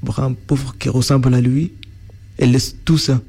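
A man's voice: mid-range voice, flat delivery, normal speed, mellow, slightly rough. A young man from Sudan, a native Arabic speaker, answering interview questions in French with frequent restarts as he searches for words.

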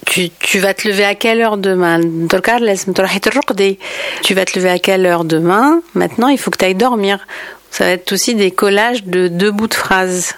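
A woman's voice: medium pitch, a little slow, dry recording. Woman from Algeria, interview guest, unaccented French, reflective and hesitant, dry and close. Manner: explanatory, thoughtful, frequent hesitations. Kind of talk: conversational interview answers.

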